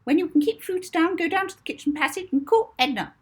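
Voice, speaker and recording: A woman's voice: extremely high pitch, fast, voice pushed up. A woman voicing a strict older orphanage mistress in a children's audiobook, with imperious, clipped scolding. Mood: stern scolding.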